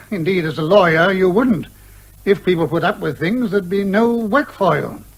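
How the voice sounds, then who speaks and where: An actor playing an exasperated, blustering older British major with old-fashioned turns of phrase, in a radio comedy drama. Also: male voice, high-pitched, normal speed, creaky, slightly rough, heavily acted, poor audio. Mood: exasperated, resigned.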